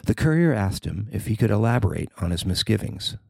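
A man who works as a newspaper reporter, reading his own article as scripted narration in a measured, formal way. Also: on the low side, big pitch swings, vocal fry, darkish tone, no room sound, clean studio sound.

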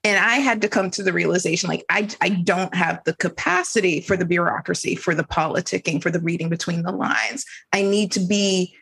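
Candid woman with a husky voice. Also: fairly low pitch, a little fast, few pauses, a bit bright. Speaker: team member in a group discussion. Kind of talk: reflective casual conversation.

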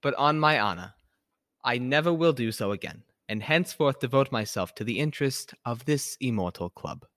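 A man's voice: medium pitch, animated, moderate pace, a bit bright, no room sound, clean studio sound, natural voice. A man playing a charming young man who gives a playful, mock-formal speech in an engaging manner.

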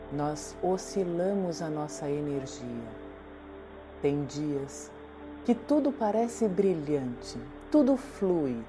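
A woman with a Brazilian accent narrating a guided meditation in a soothing, deliberate way, leaving long pauses. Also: fairly low pitch. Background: meditation music.

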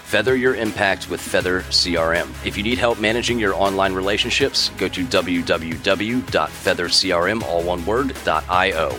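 Male podcast host, very low voice, sincere scripted read, music playing under him. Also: moderate pace, hardly any pauses.

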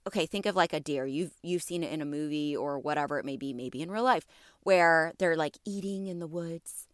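A woman in her thirties speaks directly to her listeners in a casual, encouraging way, often asking them questions. Her voice sits a bit low and sounds slightly congested from allergies. The sound is dry and close, with no room around it. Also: brisk, dry recording. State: stuffy from allergies. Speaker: podcast host. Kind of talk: casual wellness talk to listeners.

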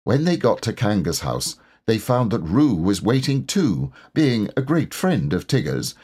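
A man reading a children's storybook aloud as an expressive, scripted narrator. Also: lowish voice, a little slow, breathy edge. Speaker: storybook reader.

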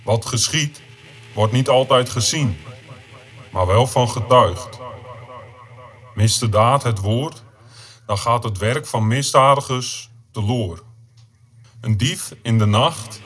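Man, very slow formal recitation, mid-pitched voice, literary narration, faint background ambience. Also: a bit bright, a little hiss or hum. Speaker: poet performing own audio play. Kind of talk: literary spoken-word narration.

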